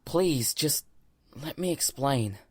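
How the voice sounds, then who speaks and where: An actor playing an earnest, loyal young military subordinate in an audio drama, speaking carefully and hesitantly. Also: male voice, a little high, animated, takes it slowly, a little mellow, slightly rough, exaggerated voice. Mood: careful, guarded.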